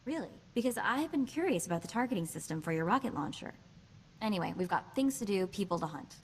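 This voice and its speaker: An actress playing a sassy, quick-witted young woman hacker in a video game, with a chatty, wry delivery. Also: mid-range voice, lively intonation, slight background noise, lightly acted. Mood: playful. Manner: wry, chatty, hesitant asides.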